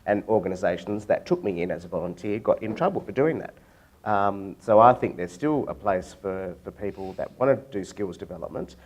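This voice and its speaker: A man speaks on a panel in a low voice. He is reflective and hesitant, with restarts. His delivery is thoughtful. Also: expressive, fairly quick, darkish tone. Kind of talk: panel discussion.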